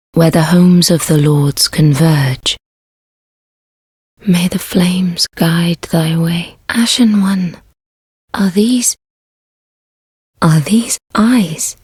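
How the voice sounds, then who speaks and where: A woman playing a gentle, devoted maiden in a fantasy video game, speaking archaic lines in a hushed, breathy, solemn way. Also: fairly low pitch, dry recording, clean studio sound, full character voice. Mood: tender, hushed. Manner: slow, solemn archaic speech. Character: gentle, devoted, soft-spoken young maiden serving a lord.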